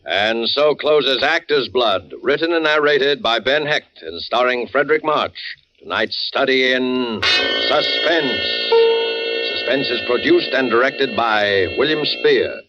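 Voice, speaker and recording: A man's voice: mid-range voice, lively intonation, a little slow. An American man announcing formally and dramatically in 1940s radio style. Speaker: program announcer. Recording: muffled radio recording over loud music.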